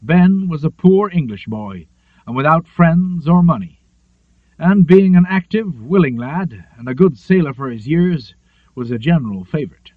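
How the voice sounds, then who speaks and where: A man narrates a literary memoir with lively, rising and falling intonation and a measured storyteller's manner. His voice sits fairly high for a male reader. The recording is very dry, with a narrow, phone-like tone. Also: dry recording. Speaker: audiobook narrator.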